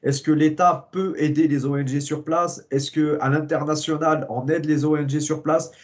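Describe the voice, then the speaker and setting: A man hosting a conversational interview, asking hesitant, searching questions filled with pauses and fillers. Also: on the high side, fairly even intonation. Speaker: interviewer host.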